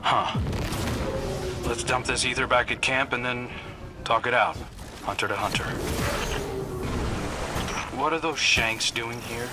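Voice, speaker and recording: A man's voice: mid-range voice, steady tone, takes it slowly, pauses often, close to an everyday voice. A man acting an earnest, serious young hunter. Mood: serious, focused. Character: earnest, dutiful, brooding younger male hunter. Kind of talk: video game voice acting. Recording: game audio under music. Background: game music.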